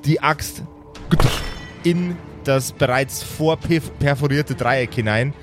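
A man's voice: mid-range voice, takes it slowly, music in the background. A man acting as game master, narrating an improvised tabletop game casually, with some English gaming slang.

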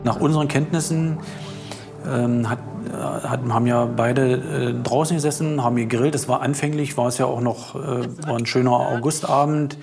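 A man speaking as a police investigator in a documentary interview, halting and matter-of-fact, in official wording. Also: medium pitch, fairly even intonation, gravelly, a little mellow. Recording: music laid under interview.

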